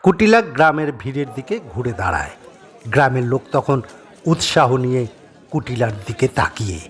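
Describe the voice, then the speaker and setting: Expressive man narrating a horror story. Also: medium pitch. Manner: suspenseful, theatrical phrasing with pauses. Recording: faint background noise and ambience.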